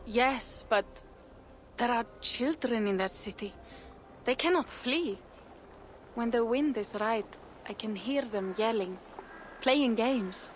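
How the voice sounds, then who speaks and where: A woman's voice: fairly high pitch, takes it slowly, pauses often, slight background noise. A woman playing a young, thoughtful Viking warrior in a video game, earnest and reflective. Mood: wistful unease. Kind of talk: dramatic game cutscene acting.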